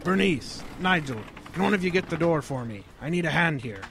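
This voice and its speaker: A man's voice: a little high, animated, a little fast, background noise. A man playing an earnest English father, proud of history, lecturing in a radio drama. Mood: placating. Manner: lecturing, storytelling.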